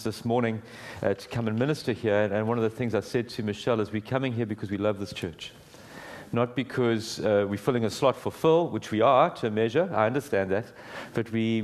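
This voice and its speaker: Booming-voiced middle-aged man preaching. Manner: booming, earnest, warm conversational preaching with many restarts. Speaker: guest preacher. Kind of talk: sermon with prayer. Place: church hall.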